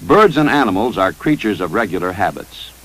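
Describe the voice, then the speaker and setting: Male narrator, American, 1950s military training film style: instructive, authoritative, measured, with animated intonation. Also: fairly low pitch, breathy edge, low-quality recording. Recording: 1955 training film soundtrack.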